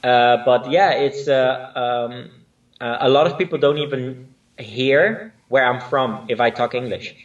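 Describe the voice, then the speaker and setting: A man from Spain, a native Spanish and Dutch speaker, chats in English as an interview guest. He hesitates often and restarts his sentences. His voice sits at a middle pitch and sounds set back from the mic on dull lo-fi video call audio. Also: unhurried, far from the mic. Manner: hesitant, many fillers and restarts. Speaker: line dance instructor, guest. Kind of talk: casual interview chat.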